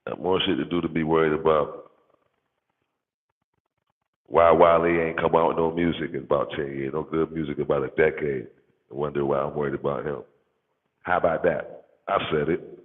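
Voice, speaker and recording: An American man with a deep, bassy, gravelly voice chats casually in slang, rambling and repeating phrases for emphasis. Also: fairly even intonation, normal speed, pauses often. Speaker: content creator, livestream host. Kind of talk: casual rambling livestream chat. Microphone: microphone with bass turned up. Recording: boomy hall-like reverb, muffled narrow-band sound.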